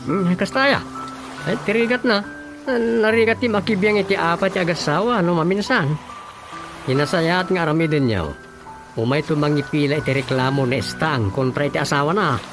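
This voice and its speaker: A gossipy, flustered male councilman acted by a man in an Ilocano radio comedy. His voice sits somewhat high, and he talks in hesitant, exclaiming bursts. Music plays behind him. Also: moderate pace, natural voice. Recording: music bed under the dialogue. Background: background music.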